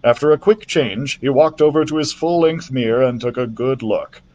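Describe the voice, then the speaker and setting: A man's voice: mid-range voice, normal speed, a little mellow, highs cut off. A man reading a children's book aloud as a librarian storyteller, friendly and chatty, into a built-in laptop mic.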